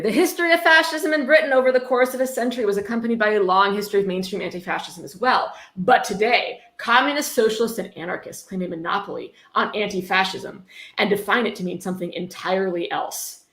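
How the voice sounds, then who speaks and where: Casual female host, dry sarcasm between read-aloud passages, mid-pitched voice in an echoey room. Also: fairly quick, fairly bright tone. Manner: sarcastic, mock-approving asides. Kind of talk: casual live commentary with a read-aloud book.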